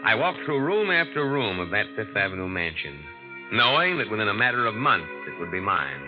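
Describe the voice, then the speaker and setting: Deep cool con man in an old radio thriller, hard-boiled and casual, muffled sound, music under it. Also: very animated, a little fast. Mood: cool, confiding. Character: smooth-scheming male impostor posing as an heir, narrating. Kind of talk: old-time radio drama, hard-boiled first-person narration.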